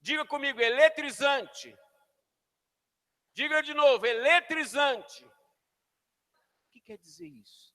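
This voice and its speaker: A pastor preaching a sermon in a church, shouting then dropping to near whispers as he calls the congregation to repeat after him. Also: extremely high pitch, takes it slowly, pauses often. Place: church hall. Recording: long hall reverb.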